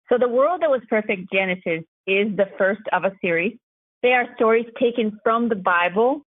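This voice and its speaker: A woman with a mid-pitched voice answers as an interviewed author, explaining in a conversational storytelling way. The sound is thin and phone-like, with little room echo.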